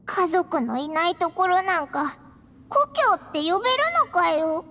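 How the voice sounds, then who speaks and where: Squeaky little fairy sidekick. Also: female voice, animated, unhurried, pushed and bright, heavily acted. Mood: glum. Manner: blunt, boyish casual speech. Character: small childlike floating fairy companion, brash and cheeky. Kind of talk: anime-style video game voice acting.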